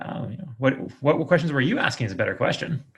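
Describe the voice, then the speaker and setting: A man's voice: medium pitch, animated, quick pace, vocal fry. A man hosting a podcast, asking questions in a casual, conversational interview style.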